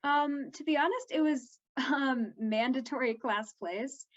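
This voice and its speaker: A woman's voice: sits high, a little slow, fairly bright tone, highs cut off. A fourteen-year-old girl chatting casually as an interviewed young actress, her talk peppered with "like".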